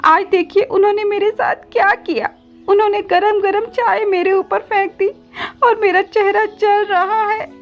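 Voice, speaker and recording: A woman plays a meek little girl bird in a cartoon fable. The voice is very high, pleading and timid, a tearful, obedient stepdaughter. Music plays under slightly dull audio. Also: fairly even intonation, moderate pace. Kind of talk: cartoon moral story voice acting.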